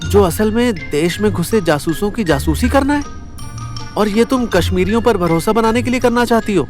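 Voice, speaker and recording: A man's voice: high voice, expressive, moderate pace, darkish tone, soft background music. A man acting an ardent, persuasive lover in a romantic audio story. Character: ardent, pleading male lover, restless and persuasive.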